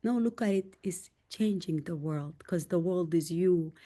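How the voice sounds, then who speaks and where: Earnest Sudanese-accented woman, low mellow voice. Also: a little slow. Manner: emphatic and heartfelt, rising in pitch on key points. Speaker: podcast guest. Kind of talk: candid conversational storytelling.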